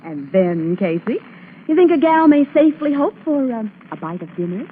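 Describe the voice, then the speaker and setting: A woman's voice: a little high, very animated, moderate pace. An American actress playing a cheerful, spirited young woman sidekick in a 1940s radio drama, with a teasing, chummy manner. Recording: muffled vintage 1940s radio broadcast, music.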